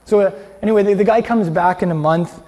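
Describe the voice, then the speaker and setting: High-voiced, mellow man preaching. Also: fast. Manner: colloquial, earnest, checking in with listeners. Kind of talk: informal, conversational sermon. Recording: long hall reverb.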